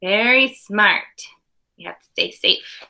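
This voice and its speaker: A woman's voice: medium pitch, slow pace, gravelly, sharp tone, slight background noise. A woman teaching young children, reading a storybook aloud expressively and chatting to them in conversational asides. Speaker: early primary school teacher.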